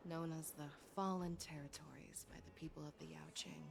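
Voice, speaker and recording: A woman voicing a battle-hardened fox-folk general in a video game, commanding, grave and measured. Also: deep voice, steady tone, gravelly, soft background music.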